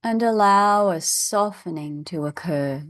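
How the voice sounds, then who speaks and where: A woman leading a guided meditation as a teacher, in a measured, instructional way with long pauses. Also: fairly low pitch, fairly bright tone, dry recording.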